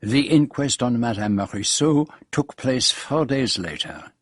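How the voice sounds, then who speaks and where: Fussy french-accented detective in a radio play, dry wit, very polite, bit husky, close dry sound. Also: male voice, mid-range voice, expressive, unhurried, no room sound. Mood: measured narration. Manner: precise, courteous, sprinkles speech with French phrases. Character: famous foreign male detective, fastidious, dryly witty, self-assured. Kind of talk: radio drama dialogue and narration.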